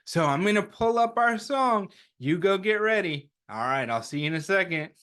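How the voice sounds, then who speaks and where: A man's voice: sits high, lively intonation, normal speed, fairly bright tone, clear, resonant tone. A man hosting a story time, talking to young children in simple, encouraging, chatty language. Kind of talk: read-aloud and chatty address to young children.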